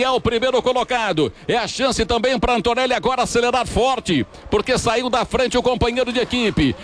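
High-voiced Brazilian man calling a race. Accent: Brazilian Portuguese. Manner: fast, excited play-by-play with repeated stammers. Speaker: race commentator. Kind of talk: live radio motor-race commentary.

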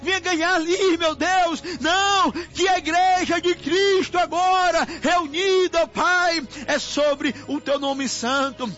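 A man's voice: extremely high pitch, slow pace, hardly any pauses, a bit bright. A Brazilian man preaching in fervent, pleading prayer, repeating his invocations as he goes. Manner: impassioned, pleading, repetitive invocations. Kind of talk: fervent extemporaneous intercessory prayer. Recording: muffled lo-fi audio with music behind. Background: music under the voice.

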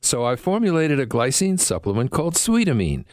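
A man's voice: mid-range voice, sing-song, brisk, a little mellow, dry recording, studio quality. A man in his seventies reading a scripted health supplement ad in an earnest, explanatory way. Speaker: doctor in an ad. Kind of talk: scripted radio ad.

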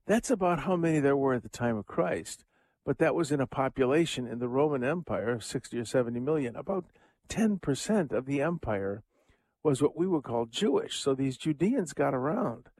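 A man's voice: mid-range voice, lively intonation, normal speed, a little mellow, no room sound. An older man hosting a radio show, giving a casual, rambling commentary on scripture with chuckling asides and many fillers. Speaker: radio host.

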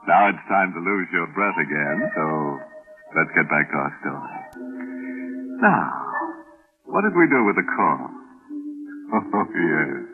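An actor playing a gleefully macabre, mock-friendly storyteller who hosts an old-time radio horror show. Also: male voice, low voice. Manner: mock-friendly, darkly joking. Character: gleefully macabre male storyteller with ghoulish humor. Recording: tinny band-limited old radio recording.

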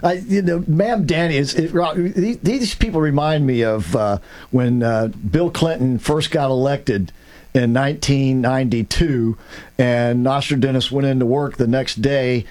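A man co-hosting a talk radio show, in a casual, chatty way. He keeps the conversation rolling and steers it along with prompts. His voice sits at a middle pitch for a man. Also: unhurried, a little mellow.

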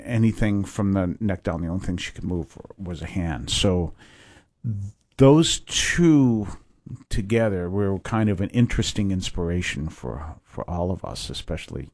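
A low-voiced man in his fifties from Massachusetts speaks as a priest in a radio interview. He wanders through his answers with lots of pauses and restarts. The sound is close and dry. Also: animated, a little mellow, dry recording. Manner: rambling, with many fillers and restarts. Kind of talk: conversational interview.

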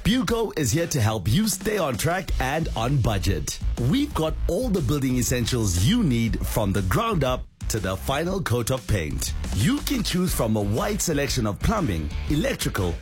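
Male ad read, confident, very lively pitch, light guitar behind. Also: moderate pace, hardly any pauses. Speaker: ad voice-over. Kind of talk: hardware store radio ad.

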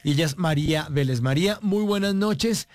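A man's voice: on the high side, fairly quick, heavy background noise. A man hosting a radio interview, with a joking, teasing manner and frequent laughs. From Colombia. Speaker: radio show host. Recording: music playing faintly underneath.

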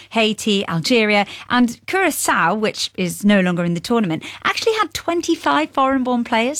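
A woman's voice: medium pitch, dry recording, clean studio sound. A woman hosting a news podcast, presenting scripted explanations and interviewing a guest in an upbeat, explanatory way. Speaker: podcast host.

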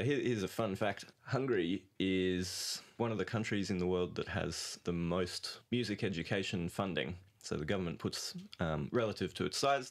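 A man chatting casually as a musician being interviewed, in a jokey way with a ready laugh. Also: sits low. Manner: jokey, laughs often while talking. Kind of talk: casual chat.

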